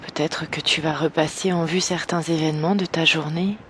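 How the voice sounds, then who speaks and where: A woman guiding an evening meditation slowly and gently, in a soft, breathy voice that nears a whisper, with long pauses. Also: low-pitched, fairly even intonation, a little hiss or hum. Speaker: meditation guide. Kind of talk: guided evening meditation, yoga nidra.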